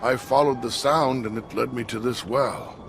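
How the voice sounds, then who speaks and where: An actor playing a formal, wistful samurai guard in a video game, musing aloud in a stately manner. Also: male voice, mid-range voice, fairly even intonation, normal speed, background noise, lightly acted. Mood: formal, inquisitive. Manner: formal, musing, breathy murmurs rising to firm speech. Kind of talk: video game cutscene acting.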